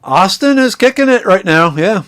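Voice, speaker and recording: A middle-aged man from Minnesota with a slightly high voice, talking as a podcast guest. He tells rambling stories and starts his sentences over often. He sounds like he is on a remote call. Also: lively intonation, normal speed, a crowd in the background. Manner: chatty storyteller with frequent restarts. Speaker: podcast guest, chemist and foraging instructor. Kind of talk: casual podcast conversation.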